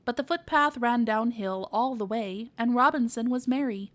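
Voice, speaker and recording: A woman's voice: on the high side, normal speed, a bit bright. A woman narrating a children's storybook as a read-aloud podcast reader.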